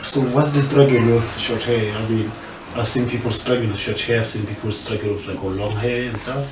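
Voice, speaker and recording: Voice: mid-range voice, fairly even intonation, a little fast, recorded from a distance. The kind of South African host you would hear on a small homemade studio chat show, informal and rambling, a little away from the mic in a roomy space. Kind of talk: casual chat interview, mixing English with local language. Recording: roomy echo, off-mic, faint noise.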